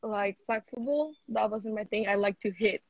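A woman's voice: mid-range voice, moderate pace, no echo, muffled recording. A seventeen-year-old woman from Mexico, an athlete, chatting casually in an interview over a video call and saying "like" a lot. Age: teens. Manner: chatty, heavy use of "like".

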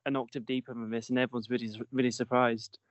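A man's voice: mid-range voice, flat delivery, fast talker, no room sound. A young man hosting a podcast in casual chat, jokey and self-mocking, often stumbling and restarting his words. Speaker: podcast host.

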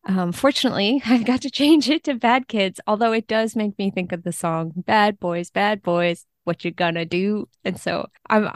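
A woman chatting casually and wryly about books as a podcast guest, quick to laugh. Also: medium pitch, no room sound, studio quality. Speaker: guest, literary translator.

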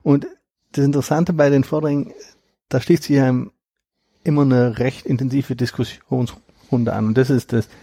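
A man being interviewed about his book, talking in a relaxed, hesitant way with repeated words and fillers. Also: medium pitch, moderate pace, breathy edge, dry recording, studio quality. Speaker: guest, author of a book on stuttering. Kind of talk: conversational interview.

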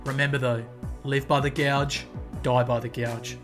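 A man's voice: medium pitch, flat delivery, unhurried, music in the background. A man, hosting an aviation podcast interview. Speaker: podcast host, pilot.

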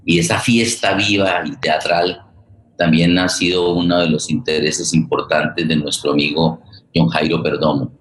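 Low-pitched Colombian man, halting, roomy echo. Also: a bit bright. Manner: halting, searching for words, repeats phrases. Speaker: host introducing a guest. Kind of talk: informal spoken introduction on a live forum.

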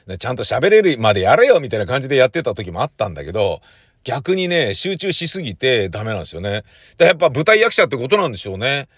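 A man's voice: medium pitch, sing-song, racing, a bit bright, slightly rough, no echo, studio quality. A man who hosts radio, chatting casually on his own in a rambling, conversational way. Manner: rambling, conversational, with frequent sentence-ending "ne" tags. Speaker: radio show host and producer. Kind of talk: casual solo chat.

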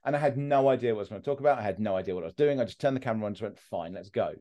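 A man's voice: lowish voice, rapid-fire, warm tone, muffled recording. A man, a mental health content creator, talking bluntly and at length in a candid interview. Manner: blunt, rambling, self-deprecating.

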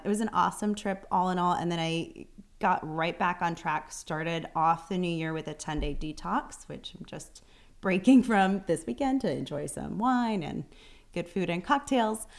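A woman's voice: on the low side, moderate pace. A woman co-hosting a podcast, chatting casually, often laughing and using fillers like "um" and "like". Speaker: podcast co-host. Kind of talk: casual chat.

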